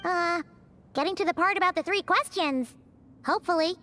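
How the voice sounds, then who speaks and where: A woman voicing a tiny, childlike fairy companion in a video game, candid and excitable. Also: very high voice, normal speed, slight background noise. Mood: hesitant. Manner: refers to self in third person, blunt. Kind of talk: scripted game dialogue.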